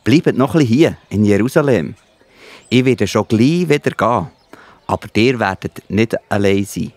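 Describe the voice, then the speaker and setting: A man with a Swiss accent playing a gentle, reassuring Jesus who explains things patiently in a children's Bible audio play. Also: fairly low pitch, animated, moderate pace, no echo. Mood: reassuring, encouraging. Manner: explains patiently in simple words.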